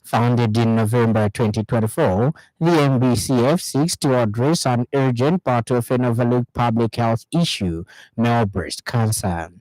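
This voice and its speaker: A man with a Zambian accent reading a scripted radio news bulletin in a formal, measured manner. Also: medium pitch, a little mellow, dry recording, rough recording. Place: radio studio.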